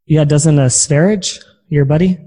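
A man's voice: a little high, measured pace, dark tone. A man hosting an interview, speaking in a casual, conversational way. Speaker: interviewer, podcast host.

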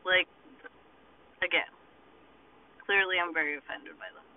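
Casual podcast host in his car, high-voiced man, animated ranter who swears, tinny phone-like recording. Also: a little slow. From Boston, United States. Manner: animated, ranting, swears freely. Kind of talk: casual chat. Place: parked car.

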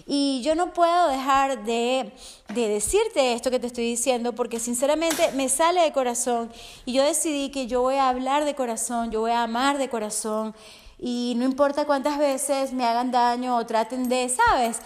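A slightly hoarse woman speaking as a coach in an earnest, heartfelt motivational podcast monologue, often checking in with the listener. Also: high-pitched, moderate pace. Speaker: resilience and fitness coach.

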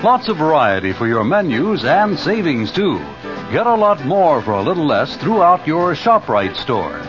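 A man's voice: on the high side, very animated, normal speed, dull audio. A man reading a scripted supermarket commercial as a radio announcer. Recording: band-limited 1970s radio broadcast with music.

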